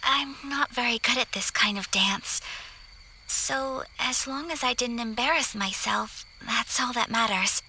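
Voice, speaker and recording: A soft-spoken young noblewoman. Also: on the high side, unhurried, a little hiss or hum, exaggerated voice, low-quality recording. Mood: gentle. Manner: soft-spoken, formal and sincere. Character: gentle, graceful young noblewoman, polite and warm-hearted. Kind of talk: game cutscene voice acting. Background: music.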